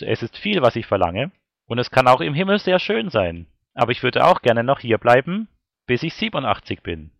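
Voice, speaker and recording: Prediger mit sehr lebhafter Sprachmelodie. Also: male voice, medium pitch, creaky, a bit bright, dry recording. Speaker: preacher. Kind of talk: sermon with scripture and verse readings.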